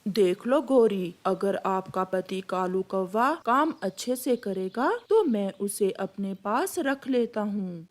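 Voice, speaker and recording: A woman's voice: mid-range voice, expressive, takes it slowly, hardly any pauses. A woman voicing a stern pigeon uncle who runs a tea stall in a cartoon story, firm and bossy. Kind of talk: Hindi animated moral-story acting.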